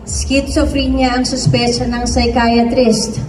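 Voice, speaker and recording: A woman giving a serious, measured dramatic reading of a story at a staged event. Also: fairly high pitch, level tone. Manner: measured, serious, deliberate phrasing. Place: event room before an audience. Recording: echoey room, distant mic, clear noise.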